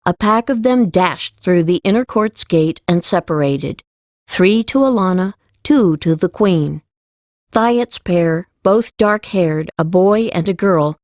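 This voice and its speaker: A woman's voice: lowish voice, a little mellow, no room sound, studio quality. A woman reading scripted narration for a fantasy audiobook.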